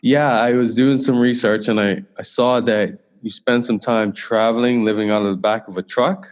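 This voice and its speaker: A man's voice: mid-range voice, steady tone, moderate pace, in a large hall. A man from Bermuda hosting a podcast, asking questions in a casual interview style. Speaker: podcast host.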